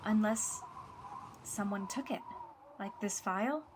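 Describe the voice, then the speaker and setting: A woman's voice: mid-range voice, measured pace, noisy background, lightly acted. A woman playing a wry, understated teenage schoolgirl in an audio drama. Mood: deadpan. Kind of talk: scripted audio drama dialogue.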